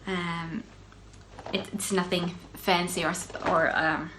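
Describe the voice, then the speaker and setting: Woman, Finland-Swedish accent, Swedish native speaker, lowish voice, chatty with ums, faint background hiss. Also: flat delivery, slow pace. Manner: chatty, self-interrupting, soft laughs, frequent ums. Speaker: knitting podcast host. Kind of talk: casual solo video podcast.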